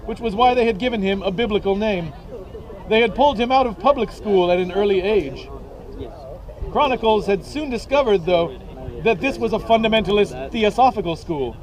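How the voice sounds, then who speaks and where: A man narrating a comic story in a deadpan storybook manner, recorded outdoors at an event. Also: sits high, low-quality recording. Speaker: reader. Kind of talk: comic dramatic reading aloud.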